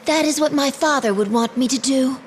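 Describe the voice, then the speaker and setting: An actress playing a proud, dutiful young warrior princess with formal, earnest delivery in a video game drama. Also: female voice, high voice, brisk, slight background noise, close to an everyday voice. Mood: bitter, resolute.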